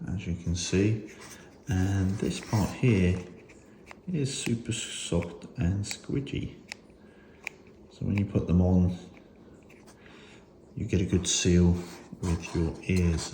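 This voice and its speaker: A man with a very low voice talks through a hands-on gear demonstration in a casual, unscripted way. He thinks aloud a little hesitantly. The recording is noticeably echoey, with faint noise underneath. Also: mellow. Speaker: motorcycle gear reviewer and host. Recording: roomy echo with faint noise.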